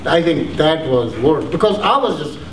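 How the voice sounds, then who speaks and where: A man's voice: on the high side, big pitch swings, moderate pace. A male comedian telling a story from the stage in a casual chat, starting hesitantly and repeating words. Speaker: stand-up comedian. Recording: distant mic, echoey noisy room.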